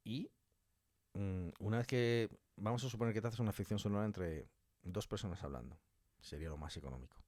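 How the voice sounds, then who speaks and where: A man speaking as a guest in a casual interview, a writer and director explaining his craft in colloquial, animated talk. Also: lowish voice, a little fast, no room sound, studio quality.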